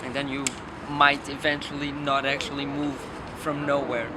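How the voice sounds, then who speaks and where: A man's voice: on the high side, flat delivery, normal speed. A man giving casual, unscripted advice, speaking outdoors in an urban setting. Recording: clear outdoor street and traffic noise.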